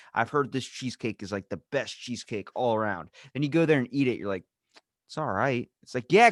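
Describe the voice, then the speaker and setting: A young man hosting a casual podcast, talking bluntly in animated rants with some swearing. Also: mid-range voice, dry recording, studio quality. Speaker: podcast host.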